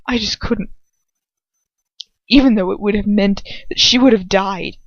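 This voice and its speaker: A woman voicing a distraught, guilt-ridden young man in an audiobook, with a cracking, wavering, tearful and halting delivery. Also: mid-range voice, no echo. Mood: quietly ashamed. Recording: dull lo-fi home recording.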